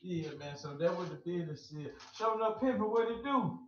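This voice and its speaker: A man's voice: high voice, animated, brisk, fairly bright tone. A man hosting a casual radio talk show, who says "amen" often and drifts into preachy asides. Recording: roomy, distant, dull lo-fi sound.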